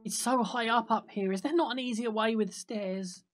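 A man's voice: very high-pitched, moderate pace. A man playing a whiny, defensive young superhero sidekick, hesitant, in an improvised video game roleplay. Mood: nervous, complaining. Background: music.

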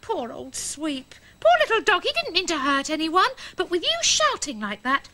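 A very high, bright motherly character. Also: fairly quick, full character voice. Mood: scolding, protective. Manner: expressive, scolding and soothing, exaggerated for children. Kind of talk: children's television puppet show acting.

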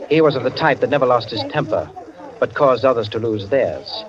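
An actor playing a wry literary storyteller, narrating an old-time radio mystery in a measured, precise, dramatic way. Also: male voice, mid-range voice, expressive, a crowd in the background, natural voice. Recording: dull old radio, music underneath.

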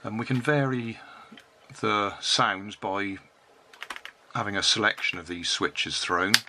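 An older British man narrating a hands-on repair in a casual, unhurried, matter-of-fact way. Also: lowish voice, bright, forceful tone. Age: 60s or older. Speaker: hobbyist repairing and reviewing a toy. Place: cluttered indoor hobby workbench. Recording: noisy room with clicks and handling sounds.

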